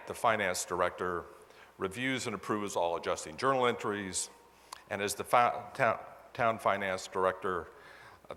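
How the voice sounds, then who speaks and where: A low-voiced man presents to a meeting in a long, ringing hall. His tone is forceful and bright, and he explains things step by step like a conference speaker. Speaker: presenter introducing a dramatized case study. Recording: echoey hall with long reverb.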